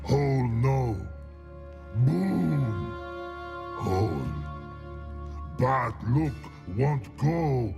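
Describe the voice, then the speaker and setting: A big dim troll from a fantasy video game. He is very slow and creaky, groping for each short word in broken grammar like a sorry child, while his pitch lurches up and down. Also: exaggerated voice. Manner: halting broken grammar, short blurted words. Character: big dim-witted male troll, simple, contrite and childlike. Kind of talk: video game character acting.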